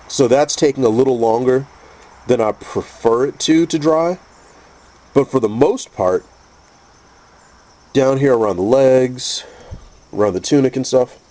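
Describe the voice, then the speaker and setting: A man with a stuffy, congested voice from a cold, calmly explaining a painting technique step by step with frequent restarts. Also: medium pitch. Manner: calm, methodical, with frequent restarts. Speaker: custom figure painter teaching. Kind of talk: painting tutorial narration.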